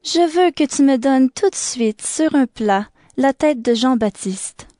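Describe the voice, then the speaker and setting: High-voiced young princess, played by an actress. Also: female voice, animated, measured pace, slight background noise. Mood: demanding. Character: young princess, eager and demanding. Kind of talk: dramatized audio Bible in French.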